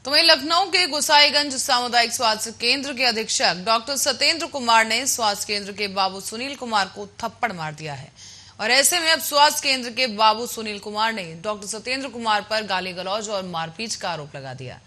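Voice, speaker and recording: Bright, high-pitched woman newsreader. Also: lively intonation, a little fast. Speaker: news anchor. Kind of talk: scripted news reading.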